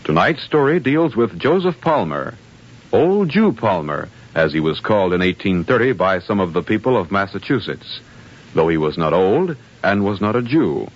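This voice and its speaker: A formal male announcer narrates in 1950s radio style. His scripted delivery is measured but rises and falls expressively. The broadcast sound is old and dulled. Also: fairly low pitch, very animated. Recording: muffled vintage radio broadcast.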